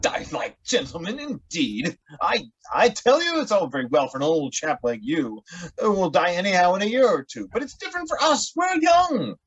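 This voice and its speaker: A man's voice: high-pitched, sing-song, moderate pace, fairly bright tone, breathy edge, dull audio, full character voice. A man acting an impatient, hot-headed young sweetheart in a theatrical, melodramatic radio drama style. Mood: indignant. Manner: melodramatic, indignant.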